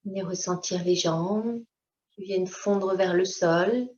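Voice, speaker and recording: A woman teaching yoga nidra, leading a slow guided meditation in a calm, unhurried way with short, gentle instructions. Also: fairly low pitch. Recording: dull, lo-fi sound.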